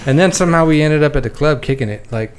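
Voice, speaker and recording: A man's voice: on the high side, quick pace, crowd noise. A male host in a casual chat, asking playful, teasing questions.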